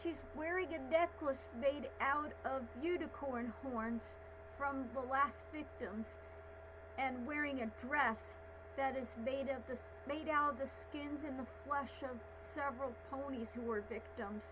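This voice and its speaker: A woman giving live reaction commentary, halting and repeating phrases in short fragments. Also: high-pitched. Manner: halting, echoing phrases she has just heard. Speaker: reaction video host. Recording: noisy, humming home recording.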